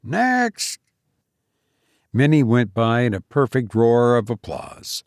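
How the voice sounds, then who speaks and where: A man's voice: mid-range voice, moderate pace, a little mellow, no echo. Man narrating a story, dry close sound. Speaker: audiobook reader. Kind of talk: scripted storytelling narration with character voices.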